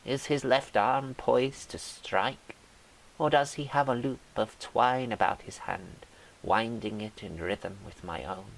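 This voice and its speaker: A weary, bitter old peasant, acted by a man speaks a poetic monologue very slowly and plaintively, pitched up from a deep natural voice. Faint hiss runs underneath. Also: gravelly, poor audio. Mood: bitter, questioning. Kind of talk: dramatic poetry monologue.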